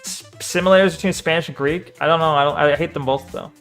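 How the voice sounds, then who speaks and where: Brief: animated male streamer, a bit high-pitched, bantering with chat. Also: fast, soft background music. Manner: animated, swears, talks back to the screen. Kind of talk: casual live-stream chat and video reaction.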